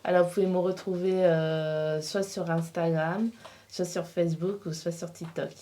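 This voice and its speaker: A woman's voice: lowish voice, fairly even intonation, moderate pace, mellow. A woman guest answering questions in a casual interview, often hesitating and searching for her words. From Portugal. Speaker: interview guest, freelance embroiderer.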